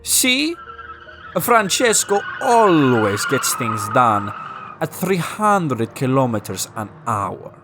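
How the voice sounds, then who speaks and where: A man's voice: medium pitch, very animated, slow pace, over music. Man doing a boastful Italian race car. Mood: showing off. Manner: pompous, refers to himself in third person. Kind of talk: children's storybook character voice.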